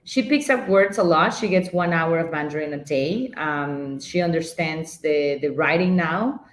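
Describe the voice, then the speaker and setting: A middle-aged woman from Ecuador whose first language is Spanish, answering interview questions in a hesitant, unhurried way. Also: deep voice, expressive, roomy sound, slight background noise. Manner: hesitant, fillers and restarts, plain phrasing. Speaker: guest, edtech leader. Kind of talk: conversational interview.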